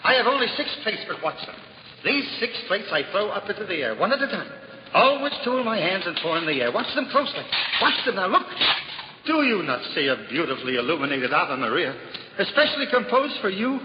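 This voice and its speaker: An actor playing a humble, simple-hearted juggler in a 1950s radio drama, earnest and self-deprecating. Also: male voice, a little fast, a little hiss or hum. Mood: devout, wondering. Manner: earnest, self-deprecating, lively swings in pitch. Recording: dull old radio broadcast with hall reverb and music.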